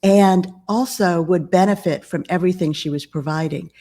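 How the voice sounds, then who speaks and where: A woman in her fifties, a business coach and author, speaking calmly and in a measured way as a podcast guest. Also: lowish voice. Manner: calm, measured. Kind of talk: podcast interview conversation.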